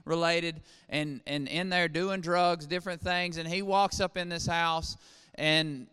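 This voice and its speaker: A man's voice: fairly high pitch, fairly even intonation, measured pace, bright, forceful tone, smooth. A man from Eastern Kentucky leading a ministry, preaching in an earnest, plainspoken way with frequent fillers. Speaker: ministry leader. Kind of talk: preaching testimony talk. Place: church sanctuary. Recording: long reverb of a church hall.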